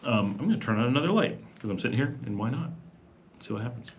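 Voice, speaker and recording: A man's voice: medium pitch, expressive, quick pace, lo-fi sound, slight background noise. A man hosting a casual evening livestream from home, chatting loosely and reading viewers' comments aloud. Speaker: livestream host. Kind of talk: casual live Q&A chat.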